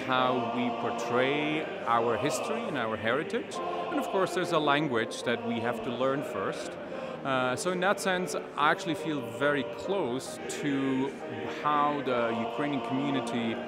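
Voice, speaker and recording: A man's voice: medium pitch, expressive, moderate pace, over loud music. A man from Switzerland, a choral conductor answering interview questions thoughtfully and searching for his words.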